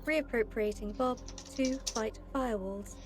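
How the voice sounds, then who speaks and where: A woman's voice: fairly high pitch, a little slow, music in the background, close to an everyday voice. A woman playing a failing, self-willed AI overseer in a science fiction drama, speaking in formal, clipped announcements. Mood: defiant.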